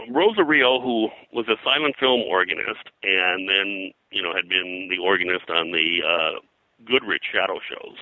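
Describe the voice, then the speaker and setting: Older American male interviewee, low-pitched and very sharp in tone, anecdotal and meandering, casual reminiscence. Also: no room sound, rough recording. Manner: rambling, anecdotal, halting mid-sentence. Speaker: interview guest, comics colorist and radio historian.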